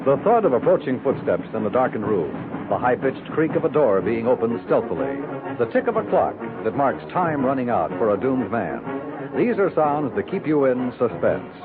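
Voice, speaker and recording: A man's voice: mid-range voice, big pitch swings, moderate pace. Old time radio announcer guy, big swooping formal delivery, music behind, thin sound. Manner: formal, dramatic enunciation. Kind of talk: scripted 1950s radio announcing. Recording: narrow-band 1950s radio broadcast.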